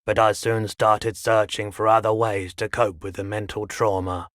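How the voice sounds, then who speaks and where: An actor voicing a haggard, indebted male shopkeeper in a video game, with a measured, understated, resigned manner. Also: fairly low pitch, flat delivery, a bit bright, no room sound, studio quality, lightly acted. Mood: subdued, confiding. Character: haggard, low-key pawnshop keeper, weary and indebted. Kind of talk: video game character dialogue.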